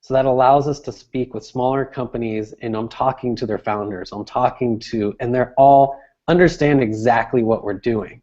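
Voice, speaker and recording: A man's voice: mid-range voice, normal speed, mellow, lo-fi sound. A man giving conversational, explanatory interview answers as a guest, restating his phrases as he goes. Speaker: interview guest, sales director.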